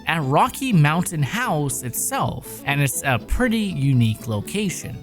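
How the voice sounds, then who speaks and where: A woman narrating her road trip vlog in an upbeat, chatty way, her voice hoarse from being sick. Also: deep voice, very animated, measured pace, faint music behind. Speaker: travel vlogger. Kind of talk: voice-over travel narration.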